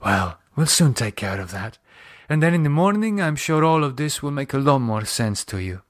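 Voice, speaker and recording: Guy doing a calm foreign lady in a horror audiobook, caring but bossy, formal careful wording, really dry recording. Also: mid-range voice, normal speed, dry recording, pitched above the performer's own voice, natural voice. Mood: soothing. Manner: precise formal phrasing, tag questions. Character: calm, solicitous foreign woman, gently commanding.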